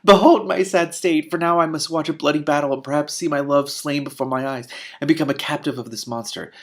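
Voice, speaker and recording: A man's voice: fairly high pitch, fairly even intonation, fairly quick, voice pushed up, close to an everyday voice. A man voicing a melodramatic, woeful young princess with theatrical, overwrought delivery. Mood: quavering distress. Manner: theatrical, wailing, archaic and overwrought.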